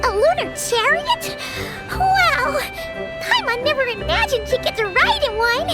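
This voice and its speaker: A woman voicing a tiny, curious, childlike fairy companion in a video game, squeaky and excitable. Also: a little slow, full character voice. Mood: excited, curious. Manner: excitable, refers to herself in third person. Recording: music playing behind the voice.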